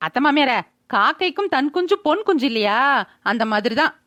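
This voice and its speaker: A woman voicing a sharp-tongued village mother in a cartoon, brash and scolding, with a rural Tamil Nadu accent. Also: sits high, sing-song, a little fast, no room sound, clean studio sound, soft background music. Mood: tart, sardonic. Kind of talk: cartoon village drama voice-over.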